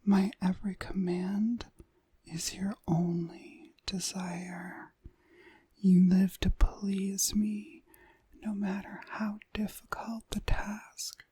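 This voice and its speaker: A woman playing a dominant, seductive witch mistress in a whispered ASMR hypnosis roleplay, breathy, soothing and commanding. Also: medium pitch, level tone, takes it slowly, mellow, no echo.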